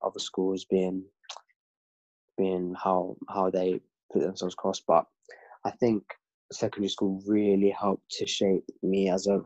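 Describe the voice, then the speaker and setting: Young english guy from london talking it through earnestly, pretty monotone, dry dead room. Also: deep voice, unhurried, no room sound. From London, England. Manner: reflective, earnest. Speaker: podcast guest. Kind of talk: casual conversation. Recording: dull lo-fi dry recording.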